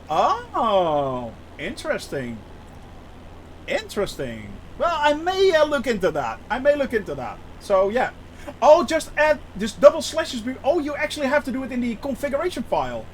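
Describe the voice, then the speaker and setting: High-voiced Dutch-accented streamer. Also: male voice, a little hiss or hum. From Netherlands. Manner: chatty, hesitant, many restarts, laughs often. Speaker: flight sim streamer. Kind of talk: casual live-stream chat.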